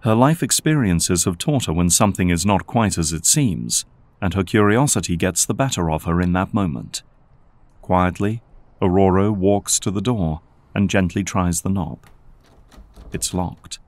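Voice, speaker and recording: A man's voice: low-pitched, normal speed, a little mellow, no room sound. A man reading scripted narration for an audio drama.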